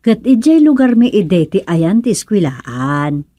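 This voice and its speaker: A woman playing a plain-spoken, measured village housewife in a radio comedy-drama. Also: mid-range voice, big pitch swings, dark tone, dry recording.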